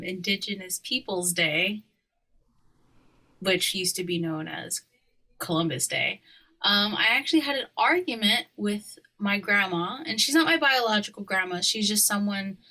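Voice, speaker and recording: A woman's voice: medium pitch, bright, forceful tone. A woman from Hawaii chatting casually as a podcast co-host, opinionated and slangy. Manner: opinionated, slangy, often laughing. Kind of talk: casual conversational chat.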